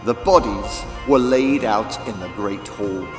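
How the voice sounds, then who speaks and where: A man narrating a children's audiobook as dramatic, deliberate, theatrical storytelling. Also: lowish voice. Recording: echoey reverb with faint music.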